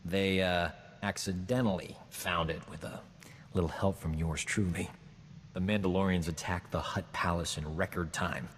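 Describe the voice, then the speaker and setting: A glib, self-satisfied rogue doctor, played by a man in a sci-fi video game, his voice sitting a little low. He brags with easy charm. A long reverb tail and faint ambience trail behind him. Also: steady tone, moderate pace, slight background noise, natural voice. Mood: boastful. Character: smooth-talking roguish male doctor, boastful charmer. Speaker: companion character.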